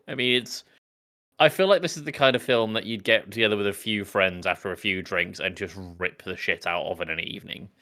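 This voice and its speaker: Male co-host, slightly deep, sweary and jokey, informal movie talk. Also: normal speed, pushed and bright. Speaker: podcast co-host. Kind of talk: casual film chat.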